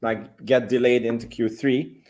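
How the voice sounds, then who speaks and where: A man giving his views as a guest analyst in a casual discussion, reasoning aloud haltingly and repeating words. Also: mid-range voice, little pitch movement, a little mellow.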